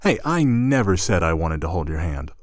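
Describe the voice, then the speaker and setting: A man's voice: sits low, very animated, normal speed, no room sound. A man playing an easygoing, teasing, slightly flustered roommate in a conversational ASMR roleplay. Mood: defensive teasing. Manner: casual, hesitant, self-correcting, dry teasing.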